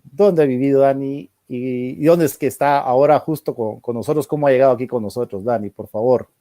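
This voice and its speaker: A man's voice: mid-range voice, fairly quick, dark tone, no room sound. A man hosting, courteously and formally introducing a speaker and asking questions. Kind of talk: polite introduction and interview.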